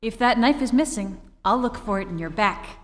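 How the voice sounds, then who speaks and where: A woman playing a detective's spirited, sharp-tongued wife with theatrical, emphatic delivery in an old-time radio drama. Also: medium pitch, animated, normal speed, natural voice. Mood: sharp, insistent. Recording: reverberant hall, distant stage pickup.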